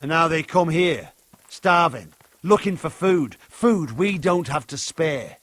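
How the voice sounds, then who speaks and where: An actor playing a fierce, loyal warrior advisor in a video game drama, speaking gravely and bluntly. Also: male voice, on the high side, expressive, slow pace, fairly bright tone, full character voice. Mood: grave, urgent.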